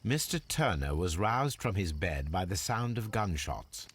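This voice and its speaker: An actor playing a shrewd, analytical gentleman detective in a video game, with an English accent and a precise, formal way of speaking. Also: low voice, very animated, measured pace, a little hiss or hum, full character voice. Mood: deducing, assured. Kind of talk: video game detective monologue, deliberate observations.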